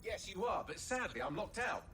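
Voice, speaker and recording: A male artificial intelligence for a game cutscene. The voice sits fairly high and swings playfully in pitch, with dry sarcasm and an unruffled, quick-witted air. Prominent music plays underneath. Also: sing-song, fairly bright tone. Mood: dry, unbothered. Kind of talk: video game cutscene acting.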